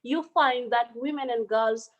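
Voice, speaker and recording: Female panelist, slightly high voice; earnest, filler-heavy delivery over a phone. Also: measured pace, dull audio. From South Sudan. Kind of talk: online panel presentation.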